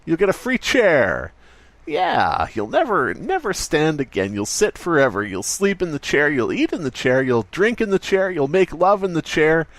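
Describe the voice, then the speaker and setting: A man's voice: on the high side, wildly swooping pitch, moderate pace, a bit bright, no echo, pitched above the performer's own voice, exaggerated voice. A man playing an over-eager, rambling salesman obsessed with his product in a spoof radio commercial. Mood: fervent, manic.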